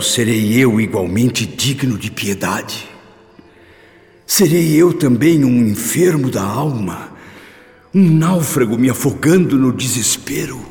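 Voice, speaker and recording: An actor with a Brazilian accent playing a tormented, guilt-ridden father in a radio drama, brooding in anguished questions with a gravelly voice. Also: lowish voice, a little mellow, heavily acted. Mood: despairing self-reproach. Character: tormented, guilt-ridden father at his daughter's hospital bedside. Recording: long reverb, music underneath. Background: music.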